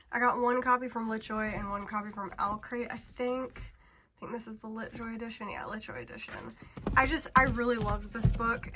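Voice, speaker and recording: A woman's voice: fairly high pitch, fairly bright tone, a little hiss or hum. A woman in her thirties with a congested voice, chatting casually and rambling to the camera at home. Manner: chatty, rambling, laughs often. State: congested, feeling sick. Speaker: booktuber. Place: home, sorting bookshelves indoors.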